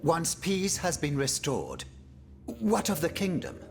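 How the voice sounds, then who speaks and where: Worried skeptical delegate in a game, gravelly, highish and very animated, emphatic questioning. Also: male voice, takes it slowly, exaggerated voice. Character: anxious skeptical male assembly delegate. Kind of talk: dramatic video game cutscene acting. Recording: faint music behind the voice.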